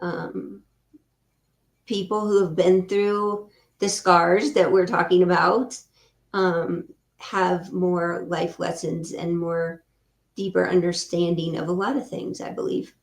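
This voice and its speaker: A woman in her mid-fifties hosts an interview, speaking earnestly and encouraging her guest. Her voice sits a little low for a woman. The recording sounds roomy, with clear echo around her. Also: little pitch movement, slow pace. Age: 50s. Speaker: podcast host. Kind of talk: podcast interview.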